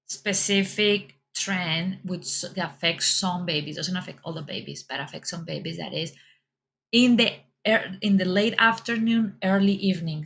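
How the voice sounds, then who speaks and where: A woman's voice: mid-range voice, a bit bright. A middle-aged woman with a Colombian accent giving parents reassuring advice and repeating her words often. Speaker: mom fitness coach. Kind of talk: casual advice talk.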